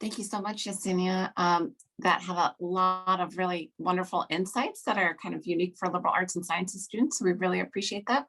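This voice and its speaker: A woman's voice: lowish voice, creaky, fairly bright tone. A woman moderating an online panel, thanking a speaker and handing over to the next. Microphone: video call.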